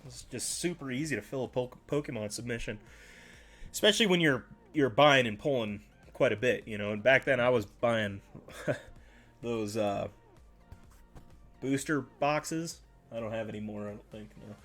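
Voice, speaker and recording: A man's voice: mid-range voice, normal speed, a bit bright, faint music behind. A man hosting a casual livestream, chatting with viewers in a rambling way and correcting himself as he goes, a little congested and nasal. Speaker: card-collecting livestream host.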